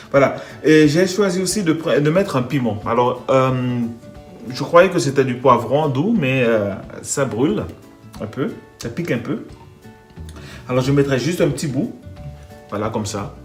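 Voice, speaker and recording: Dutch guy with an accent cooking at home, lots of ums and restarts, loud-ish music under him. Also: mid-range voice, lively intonation, unhurried. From Netherlands. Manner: hesitant, many fillers and restarts. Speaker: home cook. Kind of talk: casual cooking video.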